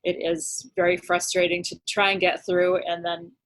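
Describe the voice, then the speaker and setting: A woman agency director giving measured, somewhat hesitant official explanations in an interview. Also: fairly low pitch, level tone, a bit bright. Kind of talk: interview answers.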